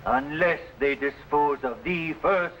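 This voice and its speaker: An actor playing a proud, defiant captive rebel archer, declaiming in archaic phrases in an old adventure serial. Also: male voice, fairly high pitch, slow, a bit bright. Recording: noisy old broadcast recording.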